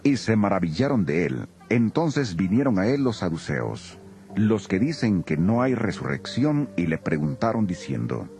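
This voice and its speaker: A man with a deep, creaky voice narrates scripture. His reading is solemn and expressive, moving widely in pitch, with soft music underneath. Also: moderate pace.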